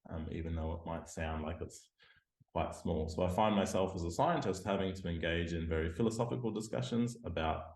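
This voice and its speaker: Australian-born academic man, deep voice, halting and reflective, roomy video-call sound. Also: a little mellow. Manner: hesitant, many ums, self-deprecating. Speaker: guest lecturer and scientist. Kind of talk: reflective interview talk. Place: home room by a window.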